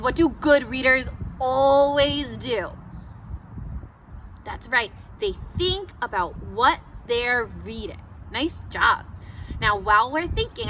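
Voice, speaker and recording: A woman teacher leads a read-aloud lesson for young children, high-voiced and very animated. She is encouraging and speaks slowly, with noticeable noise in the background. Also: poor audio. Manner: encouraging, asks questions and answers them. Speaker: schoolteacher.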